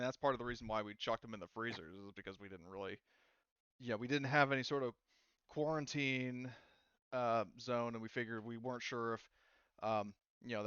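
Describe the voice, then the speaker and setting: A man with a smooth, clear voice works as game master, narrating casually and haltingly. The sound is close and dry, slightly dull and lo-fi. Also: medium pitch, fairly bright tone, no room sound. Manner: halting, conversational delivery.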